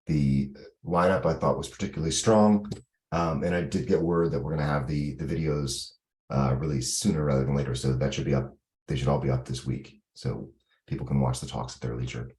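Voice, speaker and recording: Very deep-voiced man in an echoey room. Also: steady tone, brisk, darkish tone. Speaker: meeting participant reporting on an event. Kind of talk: informal work meeting update. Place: online video call. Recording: roomy, echoey meeting audio.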